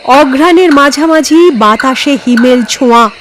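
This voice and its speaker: A woman narrating a horror story in an expressive, suspenseful, scripted storytelling style. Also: sits high, unhurried, noisy background.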